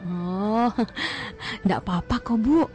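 A woman's voice: medium pitch, slow pace, soft background music, close to an everyday voice. An actress playing a friendly middle-aged woman shopkeeper who welcomes a visitor in a radio drama. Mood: welcoming. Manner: warm, welcoming, laughing easily.

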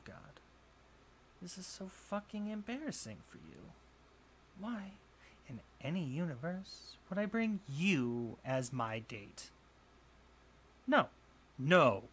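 A man's voice: fairly high pitch, fairly bright tone, a little hiss or hum, full character voice. A young man playing a jealous, insecure college bully in a roleplay audio drama, scoffing, sighing and defensive. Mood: mocking, incredulous. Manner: scoffing, sighing, swearing, halting when flustered.

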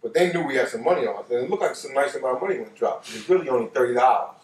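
A man's voice: medium pitch, expressive, rapid-fire, a little mellow, slight background noise. An older American man telling a personal story casually and colloquially, repeating phrases for emphasis. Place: living room couch, indoors. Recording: roomy, distant microphone.

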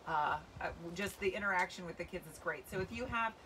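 A woman's voice: fairly low pitch, fairly quick, pushed and bright. A woman in her forties, a children's author reading a storybook and chatting casually on a livestream. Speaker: children's book author and reader. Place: covered outdoor patio by trees. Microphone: phone or camera a few feet away. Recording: distant mic, clear outdoor background noise.

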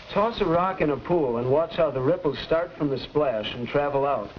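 Man acting as young American instructor, fairly high voice, didactic, patient, 1940s educational film, noisy old soundtrack. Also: dull audio, lightly acted. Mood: patient, instructive. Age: young adult. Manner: didactic, clearly explaining.

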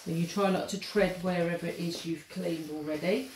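Middle-aged English teacher, low-ish voice, patient how-to demo, roomy phone recording. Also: female voice, steady tone, moderate pace. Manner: patient step-by-step explaining. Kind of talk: instructional home demonstration. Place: home kitchen and hallway, indoors. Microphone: handheld phone filming. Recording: echoey room, noisy phone audio.

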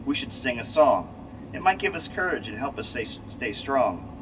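A man's voice: medium pitch, moderate pace, close to an everyday voice. A man voicing a frightened, sentimental cat astronaut from a children's storybook, pleading and dramatic. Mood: sobbing. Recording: distant mic, loud steady hum.

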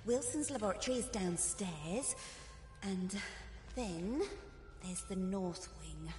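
A woman's voice: mid-range voice, lively intonation, takes it slowly, dark tone. A woman voicing a chirpy, doting, slightly creepy housekeeper creature in a video game, fussy and eager to please. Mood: eager, welcoming. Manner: fussy, eager, singsong hospitality. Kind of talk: video game character acting. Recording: echoey hall reverb, music behind.

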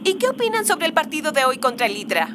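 A woman's voice: high-pitched, fast talker, background noise. A woman voicing an eager, showy TV sports reporter in a cartoon dub. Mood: inquisitive. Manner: showy, excited presenting. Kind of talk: Spanish-dubbed cartoon broadcast.

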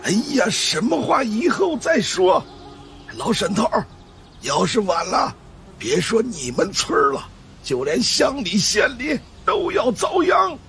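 An agitated, weary old male Taoist priest from an audiobook story. The voice is high and gravelly, strained, and drags out its words slowly. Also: huge pitch swings, fairly bright tone, heavily acted. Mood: urgent, alarmed.

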